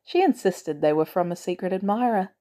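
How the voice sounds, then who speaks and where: A woman voicing a poised, dryly affectionate professional woman, smooth and composed, in a story reading. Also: medium pitch, expressive, fairly quick, dark tone, lightly acted. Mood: gently amused.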